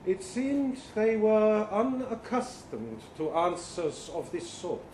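A man's voice: sits high, big pitch swings, takes it slowly, darkish tone, full character voice. A man reading a short story aloud as an earnest, bewildered professor from the future, speaking formally and hesitantly with precise diction. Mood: resigned, precise. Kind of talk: dramatic first-person short story reading. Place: indoor live literary reading event. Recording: roomy live venue, faint background noise.

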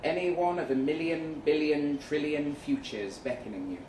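A man reading a first-person short story aloud dramatically, with measured delivery and deliberate pauses. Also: a little high, a little mellow. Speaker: short story reader at a live event. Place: event room in a pub. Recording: roomy, distant mic with faint noise.

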